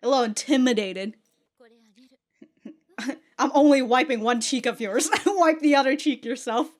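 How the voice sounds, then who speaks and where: A woman's voice: sits high, measured pace. A woman giving casual, sweary, giggly reaction commentary as she hosts a reaction video. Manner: giggly, often breaking into laughter.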